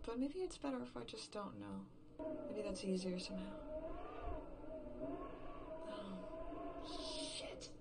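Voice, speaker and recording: A woman acting an exhausted, frightened survivor in a horror audio drama monologue, haltingly thinking aloud and repeating herself. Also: medium pitch, lively intonation, vocal fry, heavy background noise. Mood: bleak, resigned. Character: exhausted, frightened woman game writer, alone after everyone vanished.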